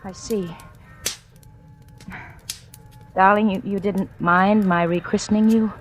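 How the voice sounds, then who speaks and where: An actress playing a cold, manipulative femme fatale in a 1940s radio drama. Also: female voice, medium pitch, steady tone, moderate pace, soft background music, close to an everyday voice. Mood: tenderly coaxing. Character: cold, scheming female femme fatale, sweet when manipulating. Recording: noisy, clicky old radio recording.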